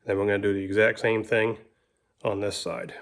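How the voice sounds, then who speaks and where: A man's voice: lowish voice, little pitch movement, brisk. A man talking casually and colloquially through a hands-on do-it-yourself job as he works, hosting his own how-to video. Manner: folksy, colloquial. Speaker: host of a DIY how-to video. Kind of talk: casual hands-on tutorial talk while working.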